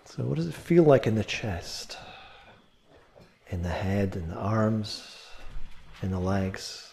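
A man with a very mellow, slightly low voice guides a meditation. He speaks gently and takes his time, leaving long pauses between short instructional phrases. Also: animated, creaky, slight background noise. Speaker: meditation teacher.

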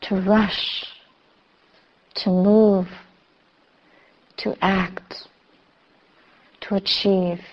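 A woman meditation teacher giving guided instruction in a measured, soothing way, with long pauses between phrases. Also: mid-range voice, level tone. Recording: slightly dull, muffled recording.

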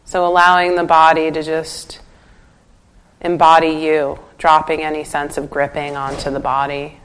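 Low voiced lady teaching meditation, calm and gentle, leaves pauses between instructions. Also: smooth, low-quality recording. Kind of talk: guided meditation.

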